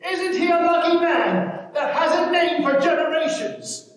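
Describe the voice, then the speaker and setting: A man playing a proud, bitter country man, declaiming deliberately in a staged play reading. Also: very high voice. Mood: defiant, bitter. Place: large reverberant hall at a public event. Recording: very echoey hall, far from microphone.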